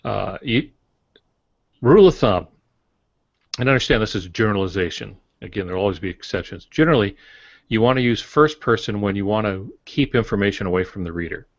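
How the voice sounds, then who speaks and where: Older man with a low, dry voice. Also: expressive, creaky, no echo. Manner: measured, explanatory, hesitant fillers. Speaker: podcast co-host. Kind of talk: casual writing craft explanation. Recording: dry, dull lo-fi audio.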